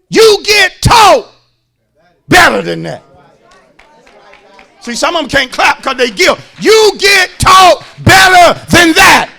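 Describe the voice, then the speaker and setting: A man's voice: extremely high pitch, gravelly, sharp tone. A man preaching as a pastor, emphatic, repeating phrases and calling on his listeners to respond. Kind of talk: sermon.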